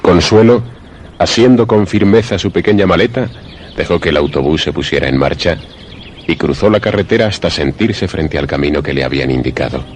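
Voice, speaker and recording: Very deep-voiced male suspense narrator. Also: a little hiss or hum. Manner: measured, literary, theatrical. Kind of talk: scripted suspense radio drama narration.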